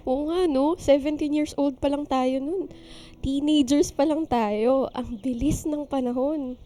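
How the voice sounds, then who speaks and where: A woman's voice: high-pitched, fairly even intonation, slow pace, dark tone. A woman playing an affectionate, playful young wife in a radio drama, with music behind her. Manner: teasing, sweet. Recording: music under the voice.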